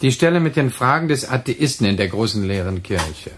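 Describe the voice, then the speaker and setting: A man acting a brisk, talkative, emphatic radio dramaturg in a German radio play. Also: mid-range voice, animated, heavy background noise, close to an everyday voice. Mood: dissatisfied, pondering.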